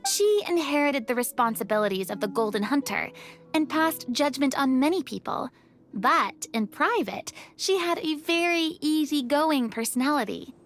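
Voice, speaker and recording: An actress playing a gentle young woman who tells old tales in a fantasy video game. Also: high voice, lively intonation, normal speed, soft background music. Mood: admiring, thoughtful.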